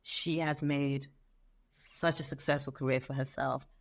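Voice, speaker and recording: Guest: young Zimbabwean-accented woman, low voice, lively storyteller. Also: a little slow. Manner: animated storyteller, acts out quoted voices. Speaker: guest, lawyer. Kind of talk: conversational interview.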